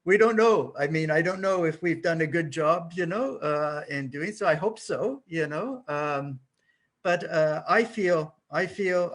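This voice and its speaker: A man's voice: a little high, fairly bright tone. A man in his sixties, a translator, answering panel questions in a modest, thoughtful way. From Canada.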